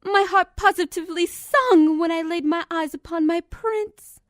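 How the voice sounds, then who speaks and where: A woman plays a theatrical, refined lady pony in an audio storybook. Her voice is extremely high and closely recorded without echo. Her manner is dainty, ornate and romantic. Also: normal speed, clean studio sound. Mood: swooning. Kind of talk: dramatised fan fiction reading.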